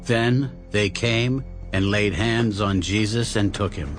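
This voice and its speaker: A man's voice: lowish voice, slow pace. A man narrating a dramatized audio Bible in a scripted storytelling style. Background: music.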